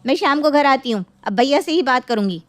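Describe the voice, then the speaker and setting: A woman's voice: high voice, expressive, brisk, a bit bright, no echo, voice pushed up. A woman voicing a greedy, haughty grown daughter in a moral story, scolding and threatening imperiously. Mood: angry, threatening. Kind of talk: dramatic moral-story acting.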